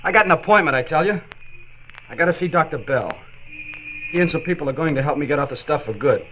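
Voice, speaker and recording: A man's voice: a little high, lively intonation, fast talker, lo-fi sound, soft background music, lightly acted. An actor playing a troubled, guarded eighteen-year-old boy fighting addiction in a 1940s radio drama, terse and earnest on an old recording. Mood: defiant, insistent.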